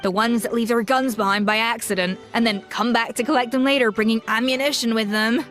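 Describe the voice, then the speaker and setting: Actress doing a strict dramatic lady chef from a game, haughty, highish voice, light music behind. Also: steady tone, brisk, fairly bright tone, close to an everyday voice. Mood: exasperated. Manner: haughty, scolding, formal. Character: stern, exacting, dramatic female chef boss. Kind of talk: video game voice acting.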